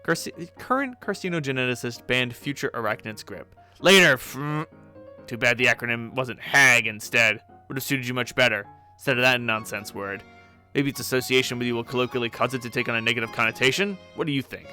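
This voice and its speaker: A man's voice: medium pitch, fairly quick, pushed and bright, faint music behind. A man doing a ranting, bossy troll leader. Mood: exasperated. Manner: ranting, exasperated, swearing. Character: grumpy bossy teen male alien troll leader.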